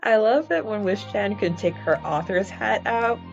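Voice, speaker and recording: A woman co-hosting a fan podcast, chatting casually in a hesitant, halting way. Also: medium pitch, animated, vocal fry. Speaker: podcast co-host. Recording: slightly dull audio, faint music behind.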